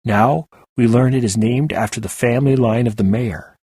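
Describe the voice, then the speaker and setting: A man voicing an earnest, excitable male rebel who cuts in. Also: medium pitch, normal speed, husky, dry recording, clean studio sound. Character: enthusiastic male rebel with a regional accent.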